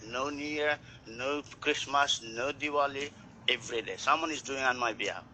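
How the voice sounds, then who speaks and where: A man's voice: mid-range voice, moderate pace, sharp tone, a little hiss or hum, rough recording. A man from Nepal, a network marketer, talking earnestly in a casual group chat and often repeating his words.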